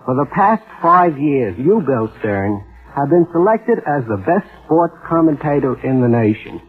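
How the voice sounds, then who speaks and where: Formal husky man on vintage radio. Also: medium pitch, very animated, faint music behind. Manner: formal, measured oratory. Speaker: guest presenter, trade paper radio editor. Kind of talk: formal scripted award speech. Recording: tinny vintage radio broadcast.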